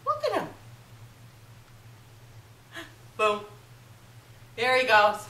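A woman's voice: a little high, huge pitch swings, very slow, pushed and bright, slight background noise. An older woman playing with a baby grandchild at home, cooing and chanting sing-song baby talk. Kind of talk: baby talk and singsong play. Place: bedroom in a home. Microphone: handheld home camera held by someone else.

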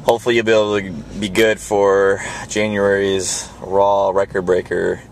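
A man's voice: fairly low pitch, little pitch movement, creaky. A man hosting a casual, joking interview with friends during a car ride. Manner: joking, quick to laugh. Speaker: vlog host interviewing friends. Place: inside a car on the road. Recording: noisy moving car interior. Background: car and road noise.

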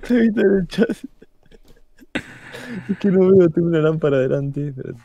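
A man chatting casually with a friend over voice chat while gaming, relaxed and quick to laugh. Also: on the high side, vocal fry, muffled recording, slight background noise.

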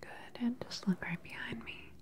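Whispering woman, soft clinical ASMR. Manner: soft, unhurried, clinical questioning. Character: calm, attentive government research doctor examining a patient. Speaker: ASMR performer playing a doctor. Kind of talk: whispered ASMR medical exam roleplay. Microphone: close ASMR microphone.

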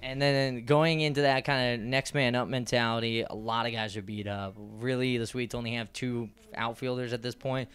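A man's voice: medium pitch, flat delivery, normal speed, hardly any pauses, a bit bright, clean tone. A young man with a handheld mic, hosting a postgame sports interview with long, rambling, upbeat questions. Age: young adult. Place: small indoor press backdrop.

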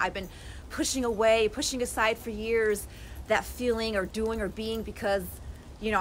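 A woman in her forties giving an earnest, animated motivational talk to a phone camera on a lawn outdoors. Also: medium pitch, slight background noise. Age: 40s. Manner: earnest, animated, gestures with both hands. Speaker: life coach vlogger. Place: outdoors on a lawn by bare woods. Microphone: phone camera at arm's length.

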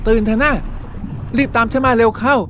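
A woman's voice: on the high side, steady tone, brisk, a bit bright, a little hiss or hum, lightly acted. A woman voicing a brave young fish hero in a dubbed cartoon, urgent and bossy. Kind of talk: cartoon voice acting. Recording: music bed under voice.

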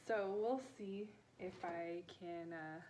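A woman in her thirties chatting casually in a low-key, offhand way, as if showing finds to viewers at home. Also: slow pace. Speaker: vlogger and online vintage reseller. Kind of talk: casual haul video chat. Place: small living room at home, indoors. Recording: clear background room noise.

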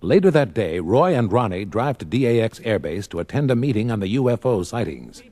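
An actor narrating a science fiction story record in a scripted, dramatic style. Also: male voice, fairly low pitch, big pitch swings, fairly quick, mellow, no echo, clean studio sound, faint music behind.

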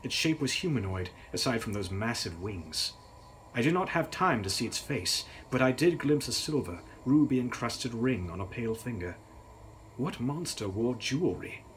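Young guy reading a gothic horror book out loud, very dramatic and serious, bit of background noise. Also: medium pitch, expressive, normal speed, rough recording. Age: young adult. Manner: theatrical, grave. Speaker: author reading his novel.

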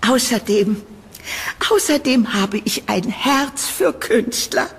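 Elderly eccentric landlady for horror radio play: sweetly eerie, unhurried, theatrical, in a reverberant space. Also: fairly high pitch, breathy edge, exaggerated voice. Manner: theatrical, halting, doting, with nervous chuckles. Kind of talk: audio drama acting. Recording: reverberant room, background noise.

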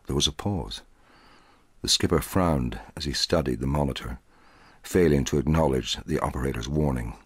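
A middle-aged man reading a short story aloud in a measured, dramatic way, with a husky voice. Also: a bass voice, dry recording. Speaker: audiobook narrator.

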